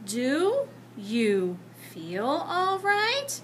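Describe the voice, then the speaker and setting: Woman playing a firm, bright-voiced schoolteacher. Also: sits high, wildly swooping pitch, drawn-out words, a little hiss or hum, voice pushed up, full character voice. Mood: concerned, firm. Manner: firm, admonishing. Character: stern but concerned female schoolteacher.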